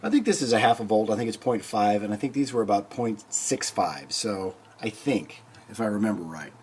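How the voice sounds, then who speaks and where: A man hosting a hands-on repair video, explaining things informally and thinking aloud with hesitant pauses. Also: fairly low pitch, expressive, a little mellow, breathy edge. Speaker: host of a record player restoration video. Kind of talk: casual hands-on demonstration.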